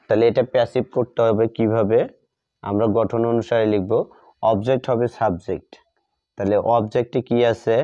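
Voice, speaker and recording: A man's voice: fairly low pitch, steady tone, moderate pace, dark tone, no room sound. A man with a Bengali accent teaches grammar, repeating phrases and spelling words out as he writes examples. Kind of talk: classroom grammar lesson, writing out examples while explaining. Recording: dull lo-fi recording.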